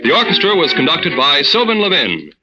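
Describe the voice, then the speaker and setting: A man's voice: fairly high pitch, huge pitch swings, fairly quick. An American man reading a scripted announcement as an old-time network radio announcer. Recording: muffled old radio recording, loud music behind.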